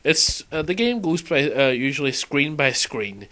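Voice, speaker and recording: A man's voice: a little high, expressive, a little slow, bright, forceful tone. A man talking casually and unscripted as a game fan in an audio log, hesitating, rambling and correcting himself as he reminisces.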